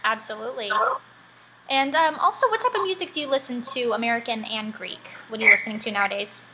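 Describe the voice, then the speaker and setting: A woman's voice: on the high side, quick pace, noisy background. A young woman interviewing a guest, upbeat and encouraging, with many short acknowledgments. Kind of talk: casual interview questions.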